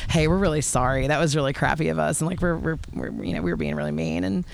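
A woman's voice: low voice, lively intonation, quick pace, vocal fry. A woman, a guest singer-songwriter chatting casually and telling anecdotes. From North Carolina, United States.

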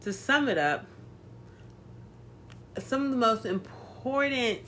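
A woman's voice: on the high side, animated, slow, fairly bright tone, a little hiss or hum. A woman in her thirties in casual chat, playful and teasing with slang.

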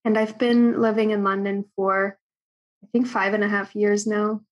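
A young woman from Germany, a podcast guest talking in a soft, hesitant way with many ums. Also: medium pitch, little pitch movement, moderate pace, rough recording. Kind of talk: casual interview chat.

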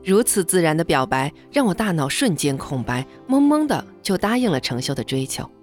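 A woman's voice: lowish voice, big pitch swings, a little fast. An actress playing a modest young female office worker in an audio drama, in first-person narration and dialogue. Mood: reflective narration. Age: young adult. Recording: music bed behind the voice.

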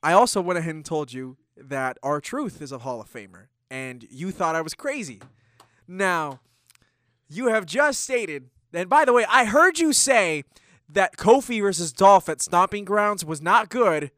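Animated wrestling podcast co-host, man. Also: high-pitched, measured pace, no echo, studio quality. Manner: animated, teasing, gets loud when arguing. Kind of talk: casual wrestling chat. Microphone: studio microphone.

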